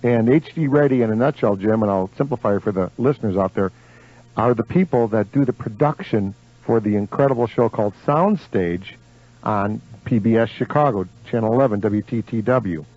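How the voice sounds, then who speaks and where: A man's voice: fairly low pitch, fairly quick, husky. An American man hosting a live radio show, bantering and interviewing. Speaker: radio host. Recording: dull lo-fi radio broadcast recording.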